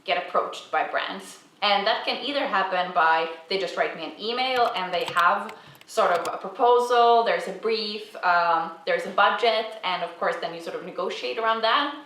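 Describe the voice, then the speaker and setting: A woman's voice: medium pitch, normal speed. Chatty woman in an echoey room. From Finland. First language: Swedish. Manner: chatty, many fillers and soft restarts. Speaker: Instagram creator and vlogger. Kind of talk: casual Q&A vlog. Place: home apartment, indoors. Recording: roomy sound, distant mic, music behind.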